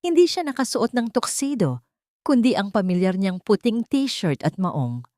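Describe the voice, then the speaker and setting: A woman narrating a scripted love story in a measured, sentimental, dramatic storytelling style. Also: mid-range voice, big pitch swings, mellow, no room sound, clean studio sound.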